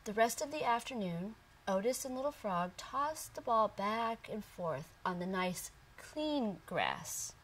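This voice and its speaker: A woman narrating a children's picture book in a deliberate, expressive storytelling manner. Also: fairly high pitch. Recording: distant from the microphone.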